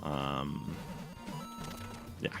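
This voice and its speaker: A slightly hoarse young man streaming a speedrun, chatting casually and rambling with lots of fillers. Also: rumbling low, level tone, extremely slow. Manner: rambling, laid-back, many fillers. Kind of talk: casual live-stream chat. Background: game music.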